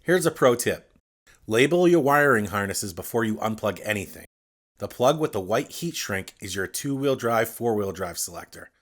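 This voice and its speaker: A man with a fairly low voice narrates a scripted installation tutorial. He speaks straight to the viewer in a clear, instructional way, going through things step by step. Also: lively intonation, normal speed.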